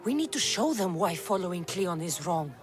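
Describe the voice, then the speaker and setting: An actress playing a determined warrior-mercenary who speaks in a direct, resolute way. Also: female voice, lowish voice, very animated, moderate pace, faint music behind.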